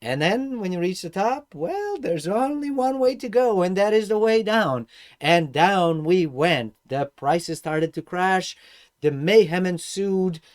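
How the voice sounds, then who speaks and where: A middle-aged man with a Serbian accent, telling personal stories as an informal course instructor in an emphatic, animated way, with rhetorical questions. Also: high voice, a little slow. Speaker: host and instructor of an investing course. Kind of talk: informal personal-story lecture to viewers.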